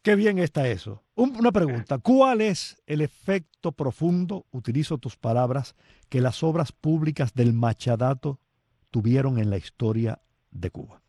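A man's voice: mid-range voice, expressive, moderate pace, dark tone, slightly rough, no echo, studio quality. A man hosting a radio show, introducing music and interviewing a guest on the phone in a friendly, chatty way. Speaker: radio host.

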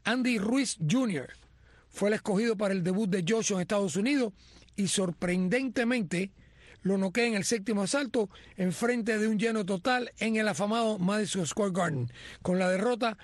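Male sports commentator, high voice, earnest scripted read, dry close sound. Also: normal speed, no echo.

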